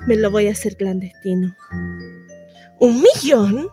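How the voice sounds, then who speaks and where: A woman's voice: mid-range voice, expressive, a little slow, mellow, faint music behind, close to an everyday voice. A woman acting a theatrical monologue as a nervous, indignant pregnant woman in a bitterly ironic, conversational one-sided dialogue. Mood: dismayed, indignant.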